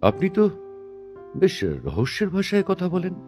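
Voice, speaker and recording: Proud, wry male stage artist, audio play. Very animated delivery, questioning and theatrical, music underneath. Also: high voice, unhurried, heavily acted. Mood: curious, playful. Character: male stage performer, teasing, proud, somewhat prickly. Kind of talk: Bengali audio-drama dialogue acting. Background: instrumental background music.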